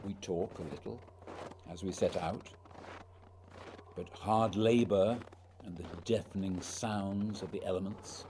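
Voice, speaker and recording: An actor playing a dutiful, reserved male polar expedition leader, measured, grave and formal, in a radio play. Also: low voice, fairly even intonation, warm tone, slight background noise.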